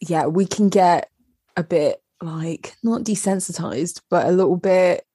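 A woman's voice: on the low side, a little slow, dark tone, husky, no echo, clean studio sound. A young woman co-hosting a podcast in casual chat, rambling with lots of fillers. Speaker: podcast co-host.

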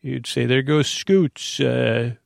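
Gravelly, rambling male bedtime podcast host. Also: mid-range voice, fairly even intonation, dry recording, studio quality. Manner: hesitant, halting, full of ums, stammered repeats and trailing tangents. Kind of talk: rambling, meandering bedtime monologue.

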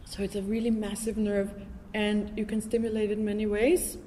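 A woman speaks as an interviewer in casual, reflective conversation. She is informal and enthusiastic, working out her thoughts as she goes. Her mid-pitched voice rings in a long hall reverb over faint ambient noise. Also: level tone, fairly quick, dark tone. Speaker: interviewer, podcast host. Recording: noisy, reverberant hall recording.

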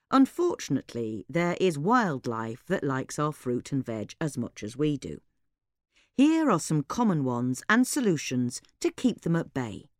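A woman's voice: deep voice, dry recording, clean studio sound. A woman reading a scripted gardening-magazine style article as an informational narrator.

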